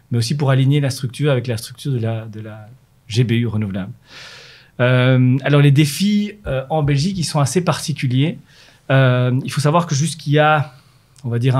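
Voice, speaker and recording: A middle-aged man speaks at an ordinary pitch, steady and explanatory. He is a manager answering an interviewer's corporate questions, pausing on fillers and restarting phrases as he thinks. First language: French. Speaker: renewables manager at an energy company.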